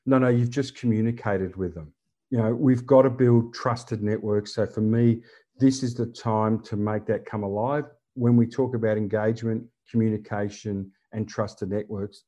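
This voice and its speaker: A man's voice: on the low side, warm tone. A man who is a podcast guest and consultant, talking earnestly and emphatically in a conversational interview. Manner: earnest and emphatic, with repeated phrases. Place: living in Germany.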